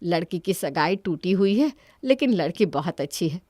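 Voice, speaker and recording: A woman voicing an ageing, anxious mother in a cartoon story, gently persistent and pleading. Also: fairly low pitch, animated, normal speed, no room sound, studio quality. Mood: worried, coaxing. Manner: coaxing, pleading.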